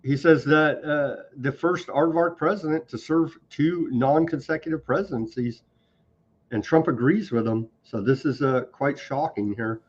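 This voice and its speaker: A man's voice: fairly high pitch, measured pace, husky. A man hosting a political commentary show, talking in a sarcastic, conversational way. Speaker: host. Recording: dull lo-fi sound.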